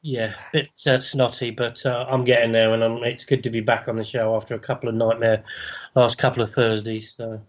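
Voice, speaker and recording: A man co-hosts an online radio show, his voice stuffed up from a cold. He talks in a relaxed, halting way with many restarts, over slightly muffled, lo-fi audio. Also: medium pitch. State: bunged up with a cold. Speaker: co-host.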